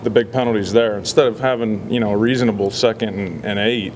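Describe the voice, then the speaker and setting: A man in his forties, a football head coach, answering reporters after a loss in a measured, halting way with many fillers. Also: lowish voice, fairly even intonation, slight background noise. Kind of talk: post-game press scrum. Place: indoor press backdrop area. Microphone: several handheld reporter mics.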